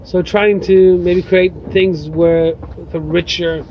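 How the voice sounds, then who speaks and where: A man's voice: high-pitched, little pitch movement, slow, highs cut off, slight background noise. A man, a poet and teacher, answering interview questions outdoors by a bar, thoughtful and hesitant with many fillers. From Brazil. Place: outdoors by a bar in light rain.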